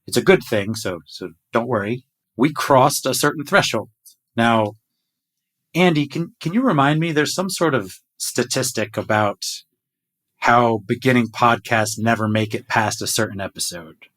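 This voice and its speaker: A man's voice: mid-range voice, normal speed, a bit bright. Man, middle-aged, podcast host, casual chat, upbeat, video call. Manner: enthusiastic, announcing numbers to the team.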